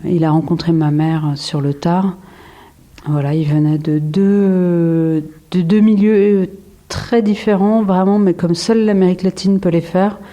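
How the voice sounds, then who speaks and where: A woman in her forties, from France, a photographer answering interview questions in a reflective way, with frequent pauses, fillers and restarts. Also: lowish voice, fairly even intonation, warm tone. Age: 40s. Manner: hesitant, many fillers and restarts.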